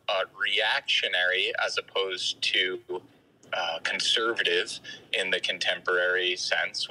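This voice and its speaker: Male caller, low-pitched yet very sharp, forward tone, careful halting question delivery. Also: rough recording. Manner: halting, careful phrasing with hedged qualifications. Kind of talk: call-in question to a live show.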